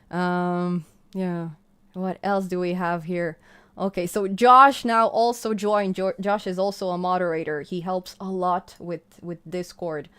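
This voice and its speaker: A woman's voice: mid-range voice, smooth. A woman from Israel with a Hebrew accent, hosting her channel's live stream and chatting casually as she reads viewer comments, effusive and full of fillers. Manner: chatty and effusive, gushing with thanks, many fillers and restarts. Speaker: channel host.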